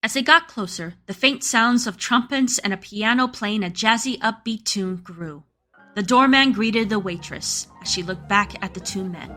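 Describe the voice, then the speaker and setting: Female narrator, scripted radio play storytelling, mid-range voice, clear music bed. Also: mid-range voice, moderate pace, sharp tone. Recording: music playing behind the voice.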